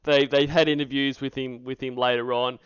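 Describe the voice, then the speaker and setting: A man with an Australian accent hosts a livestream in a loose, rambling way. His voice is clean and smooth, recorded close and dry with a slightly dull top end. He talks with amusement and jokes crudely. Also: medium pitch, flat delivery, a little fast, no echo. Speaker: streamer hosting a reaction stream. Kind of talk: casual rambling livestream chat.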